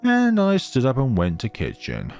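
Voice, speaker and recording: A man reading a visual novel aloud in a theatrical, expressive way and adding his own playthrough commentary. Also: mid-range voice, moderate pace, soft background music.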